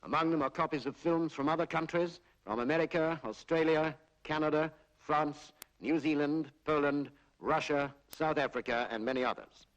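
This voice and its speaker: Man, British accent, slightly high voice, formal measured narration, 1940s public information film, period soundtrack. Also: steady tone, muffled recording. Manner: formal, measured explanatory delivery. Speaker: documentary narrator.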